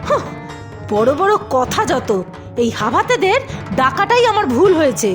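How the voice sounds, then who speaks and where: Cartoon role: woman as scornful, haughty rich aunt, very high-pitched, animated delivery. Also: normal speed, over music. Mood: scornful, suspicious. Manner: scornful, scolding. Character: haughty, accusing rich aunt scolding a child. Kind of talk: cartoon voice acting.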